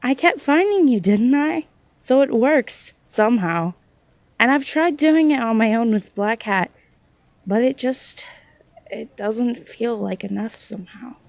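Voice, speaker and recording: A woman playing a hesitant, self-doubting young psychic in a naturalistic audio drama, speaking in halting phrases. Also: a little high, lively intonation, a little mellow, no echo. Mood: unsure, explaining.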